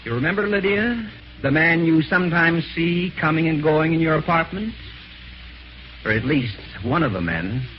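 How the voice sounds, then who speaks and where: A man playing a scheming, sardonic husband in an old-time radio mystery, smooth, deliberate and falsely genial. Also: on the high side, animated, slight background noise, natural voice. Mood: mocking, menacing. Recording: muffled vintage radio, music behind.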